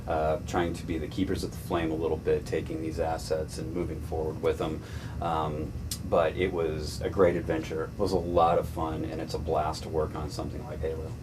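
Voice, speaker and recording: A man's voice: little pitch movement, fairly quick. A man speaking as a developer in a casual interview. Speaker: franchise developer. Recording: noisy room with low rumble.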